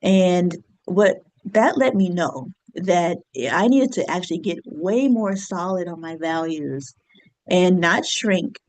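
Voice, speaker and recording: A woman in her thirties, an astrologer and business coach, talking reflectively to her viewers with easy fillers and soft laughs. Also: lowish voice, slow pace. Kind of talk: casual solo talk to camera.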